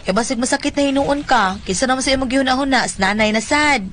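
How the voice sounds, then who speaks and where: A woman's voice: sits high, fast, fairly bright tone, a little hiss or hum. A woman playing a weary, dutiful daughter in a radio drama, coaxing and exasperated, with a Visayan Filipino accent. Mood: troubled, coaxing. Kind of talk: radio drama acting with first-person narration. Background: music.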